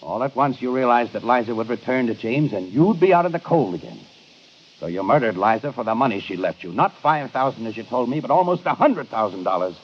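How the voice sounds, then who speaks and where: An actor playing a shrewd, authoritative police inspector in an old radio crime drama, speaking deliberately and accusingly. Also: male voice, mid-range voice, expressive, lightly acted. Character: shrewd, commanding male police inspector exposing a killer. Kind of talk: 1950s radio drama acting. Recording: muffled, hissy old radio broadcast.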